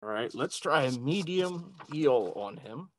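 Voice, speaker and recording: A man's voice: a little high, expressive, measured pace, slight background noise, low-quality recording. A man playing a tabletop role-playing game, talking casually through his turn.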